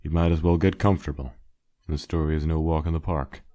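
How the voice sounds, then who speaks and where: Deep-voiced weary skeleton acted by a man. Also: fairly even intonation, mellow. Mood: reluctant, wry. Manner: halting, subdued, wistful. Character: weary adult male skeleton monster, guilt-laden joker. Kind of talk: dramatic fan comic dub, reflective storytelling.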